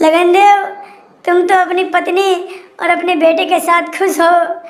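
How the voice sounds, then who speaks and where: A woman voicing a vengeful, lonely female ghost witch who cackles between slow, menacing lines. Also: extremely high pitch, flat delivery. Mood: sad. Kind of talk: dramatized horror story acting. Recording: echoey room.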